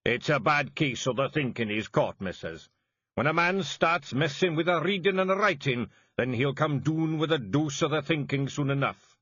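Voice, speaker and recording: A man voicing a big, dim, blunt male clan warrior with a deadpan way of talking. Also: a little high, normal speed, dry recording, lo-fi sound, voice pushed up. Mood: wry.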